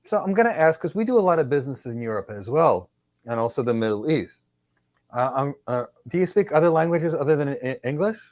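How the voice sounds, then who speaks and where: A man's voice: a little high, lively intonation, fairly quick, a little mellow, dry recording, studio quality. A man conducting a mock job interview, asking questions in an upbeat, encouraging way.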